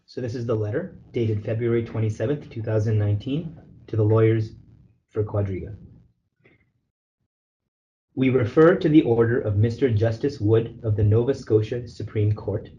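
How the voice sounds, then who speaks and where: A man's voice: lowish voice, normal speed, dark tone. Man on a dull, noisy conference call. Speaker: lawyer, representative counsel leading a call. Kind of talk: reading a formal legal letter aloud on a conference call. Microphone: telephone conference call.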